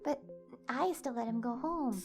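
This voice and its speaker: Casting: actress as earnest young woman for audio drama, higher voice, nervous and hesitant, music underneath. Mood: worried, guilty. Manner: halting, flustered, hesitant. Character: anxious young woman, tired, earnest gamer.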